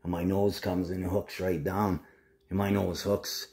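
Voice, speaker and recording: A man's voice: low-pitched, little pitch movement, a little slow, creaky, darkish tone. A man talking casually to the camera in a rambling, agitated monologue full of swearing.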